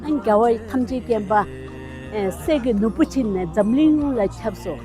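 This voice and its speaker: A woman loudly reciting a prayer in a chanting manner. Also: a little high, expressive, measured pace, music in the background.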